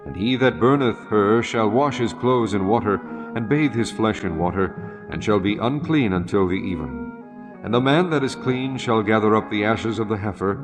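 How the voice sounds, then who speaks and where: A man narrating an audio Bible in a solemn, formal, measured scripted style. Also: on the low side.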